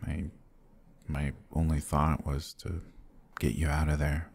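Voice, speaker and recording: An extremely deep-voiced vampire lord, acted by a man, dark and mellow in tone with a husky edge. He speaks gently and formally, halting at times, like a brooding protector in an intimate ASMR roleplay. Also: little pitch movement, heavily acted. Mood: tender, pained.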